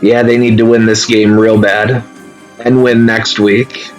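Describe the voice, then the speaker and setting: A man's voice: lowish voice, little pitch movement, measured pace. A man casting a live card game match, laid-back and analytical. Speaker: esports caster. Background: game music.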